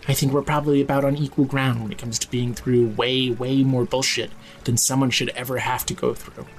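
A man's voice: mid-range voice, natural voice. An actor playing an exasperated but caring man, blunt, sardonic and casual, in naturalistic audio drama dialogue. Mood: resigned, gentle. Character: Nico, exasperated, sweary, caring male friend. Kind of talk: fiction podcast acting, naturalistic dialogue.

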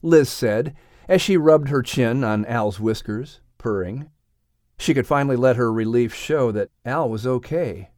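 A man's voice: medium pitch, a little slow, darkish tone, no room sound, clean studio sound. A man narrating a children's audiobook from a script.

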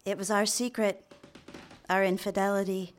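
An actress playing an eager, apologetic young secretary and secret mistress in a live radio drama, confiding and deferential. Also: female voice, mid-range voice, flat delivery, moderate pace, lightly acted. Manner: deferential, hesitant in dialogue, confiding in narration. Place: live on stage in a theater.

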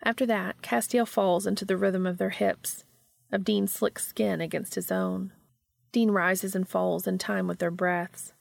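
A woman's voice: lowish voice, creaky, dry recording. A woman reading scripted fiction as an audiobook narrator.

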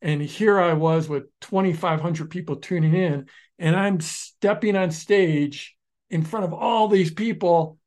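A man with a slightly raised voice co-hosts a casual podcast conversation. He tells stories animatedly, speeding up and slowing down and restarting sentences as he goes. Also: high-pitched. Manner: animated storyteller, restarts sentences, shifts pace often. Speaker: podcast co-host, online business founder.

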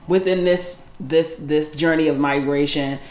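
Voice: mid-range voice, steady tone, a little slow, a little mellow, echoey room. A podcast host chatting casually with a guest, affirming and cheering him on with laughter and the odd swear. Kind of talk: casual interview chat.

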